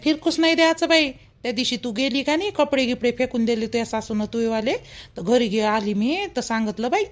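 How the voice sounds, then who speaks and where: Woman voicing a creaky, high village gossip. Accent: Vidarbha Marathi. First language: Marathi. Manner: colloquial, gossipy, advising. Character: chatty, meddling village elder woman, protective and opinionated. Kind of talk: village cartoon dialogue.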